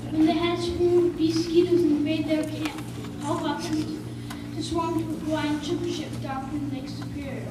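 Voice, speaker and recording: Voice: high voice, level tone, unhurried. A child of about eight or nine telling a scripted tale in a third-grade class performance. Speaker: third-grade pupil reciting. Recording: distant, echoey, noisy room recording.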